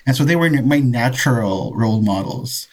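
A man's voice: medium pitch, expressive, normal speed. A man in his thirties from the Philippines, a professor answering interview questions in a friendly, somewhat hesitant way. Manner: friendly, hesitant with restarts and laughs. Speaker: guest, professor.

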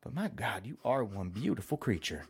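An actor playing a menacing male kidnapper with a slow, soothing yet threatening drawl. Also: on the low side, sing-song, gravelly, noisy background. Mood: leering.

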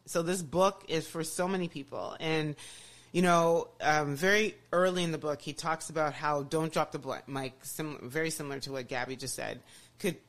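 A woman's voice: deep voice, steady tone, normal speed. A woman hosting a podcast, talking enthusiastically in casual chat with many restarts and "you know"s. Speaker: podcast host.

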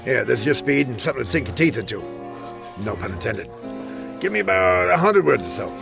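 An actor playing a cantankerous older newspaper editor, blustery and grumbling. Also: male voice, medium pitch, animated, a little fast, gravelly, music in the background. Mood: brisk, dismissive.

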